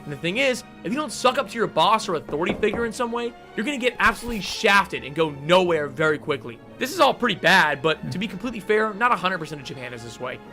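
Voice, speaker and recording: A man's voice: high voice, big pitch swings, fast, few pauses, bright, forceful tone, faint music behind. A man narrating a scripted commentary video in an emphatic, blunt manner.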